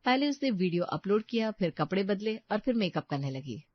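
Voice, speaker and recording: A woman's voice: mid-range voice, sing-song, brisk, no echo, dull audio. A woman reading scripted narration for a story as its narrator.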